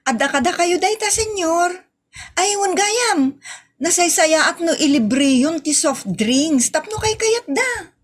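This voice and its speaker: A woman plays a very high-pitched, rude and scheming young waitress in an Ilocano comedy drama. She is sassy and brash, with an Ilocano accent and a mocking way of answering back. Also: lively intonation, takes it slowly, exaggerated voice. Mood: sly.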